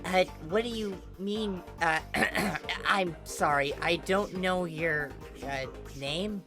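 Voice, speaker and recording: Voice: mid-range voice, slow pace, pitched above the performer's own voice. A story reader voicing a flustered, self-pitying teenage boy with an awkward, stammering manner. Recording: background music under the voice.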